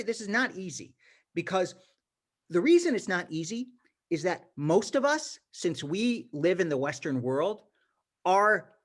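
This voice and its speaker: A teacher with an emphatic manner and many restarts, giving an informal lecture to a class over a video call. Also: mid-range voice. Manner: animated, emphatic, frequent restarts. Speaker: teacher leading a congregation class.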